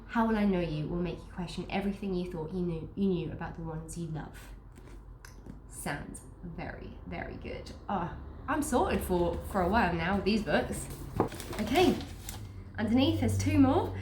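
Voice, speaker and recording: A woman with a stuffy nose from a cold, chatting upbeat through a book box unboxing and reading the blurbs aloud. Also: medium pitch. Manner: chatty, upbeat, restarts sentences. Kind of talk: casual unboxing chat with read-aloud blurbs. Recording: echoey room with faint noise.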